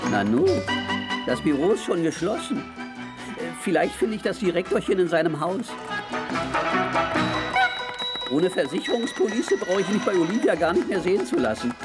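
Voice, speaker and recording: A feisty cartoon sailor with a high voice that leaps all over its range. He talks to himself in exclamations, full of swagger, in a comic radio play with prominent music behind him. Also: sing-song, brisk. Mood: fretful, resolute. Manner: exclamatory, thinks aloud, chuckles to himself.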